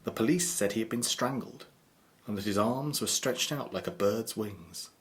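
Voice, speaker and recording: A man's voice: fairly low pitch, normal speed. A man with a British accent narrating a scripted audio drama in a theatrical, ominous storytelling manner.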